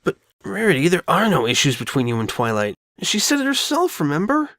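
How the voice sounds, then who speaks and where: A man's voice: on the high side, huge pitch swings, brisk, a bit bright, no room sound, studio quality, full character voice. A man voicing a caring, perceptive young boy dragon with a casual, direct, youthful manner in a dramatic fan fiction reading. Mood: caring, frank.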